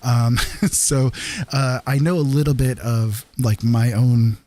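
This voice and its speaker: This is an American man with an average-pitched voice hosting a casual livestream. He speaks in a friendly, rambling manner, addressing his viewers directly. His delivery is informal and reassuring. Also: steady tone, slow pace, dark tone. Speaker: live streamer host.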